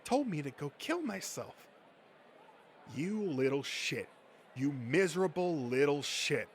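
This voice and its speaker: A man's voice: on the high side, big pitch swings, slow, slight background noise. A man voicing a foul-mouthed, bitter, whiny comic book nerd, agitated and melodramatic, in an amateur dub. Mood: bitter outrage.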